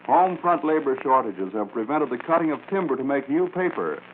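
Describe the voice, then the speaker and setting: 1940s radio commercial announcer, American man, mid-pitched, polished formal reading, tinny narrow band, light noise. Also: expressive, a little fast. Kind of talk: scripted 1940s radio advertisement.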